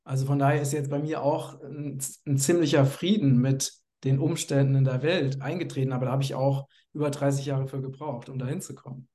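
A man's voice: mid-range voice, fairly even intonation, fairly quick, few pauses, mellow. A man in his fifties speaking earnestly in conversation, searching for words with frequent fillers. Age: 50s. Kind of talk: personal conversation.

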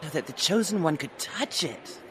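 A woman's voice: low voice, lively intonation, normal speed. A woman playing a flippant, impulsive young earthling in an audio drama, casual and wisecracking. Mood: impish, restless. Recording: faint background ambience noise.

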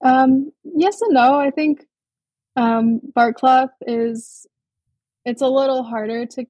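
A young adult woman from Hawaii, a guest artist speaking in a relaxed, thoughtful way with many pauses and restarts. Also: high voice, husky, dry recording, lo-fi sound. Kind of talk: casual interview over a call.

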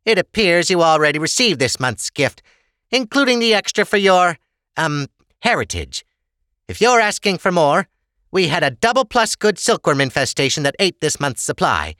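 An unruffled, officious woman clerk from a dystopian audiobook, acted by a man. Her voice is pitched high and bright, dealing out rations with an unvarying businesslike manner. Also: big pitch swings, moderate pace, no room sound, clean studio sound. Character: unruffled female official rationing gifts at a market.

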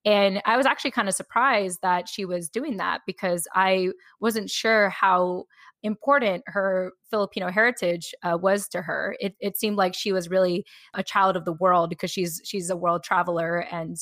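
A woman hosting a podcast, talking in a relaxed, rambling way with many "like" fillers. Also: lowish voice, moderate pace, dry recording. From born on a US military base. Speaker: podcast host. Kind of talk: casual conversational podcast.

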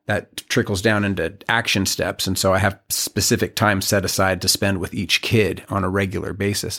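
A man in his forties, a guest on a podcast, talking in a reflective, halting way with many restarts. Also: lowish voice, a bit bright. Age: 40s. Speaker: podcast guest, community leader. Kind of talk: casual podcast conversation.

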